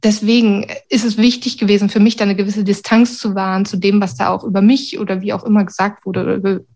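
A woman's voice: mid-range voice, little pitch movement, fast, a little mellow. A middle-aged woman from Berlin talking candidly and reflectively about personal experience in an interview. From Berlin, Germany. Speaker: interviewee, university secretary with a doctorate.

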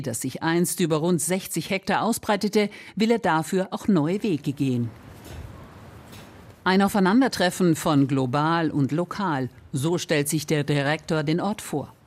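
Female feature reporter, low voice, measured scripted narration. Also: a little mellow. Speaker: radio reporter.

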